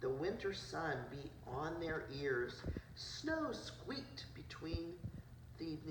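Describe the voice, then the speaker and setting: Low-voiced woman reading a picture book, distant, noisy. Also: very animated, takes it slowly. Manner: simple storytelling with asides about the pictures. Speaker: preschool teacher reading to children. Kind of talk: picture book read-aloud. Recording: roomy, distant mic, noisy background.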